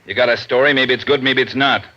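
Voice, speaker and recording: An actor playing a gruff, bullying, easily flustered police inspector with an American accent, blunt and badgering in a 1940s radio crime drama. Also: male voice, medium pitch, fairly even intonation, fast talker, pushed and bright, natural voice. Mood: threatening. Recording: muffled vintage radio recording.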